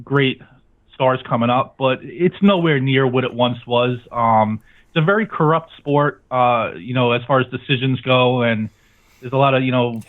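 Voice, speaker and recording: A man chatting casually as an interview guest, giving blunt, opinionated answers. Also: medium pitch. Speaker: guest, sports radio show host. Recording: thin phone-like line.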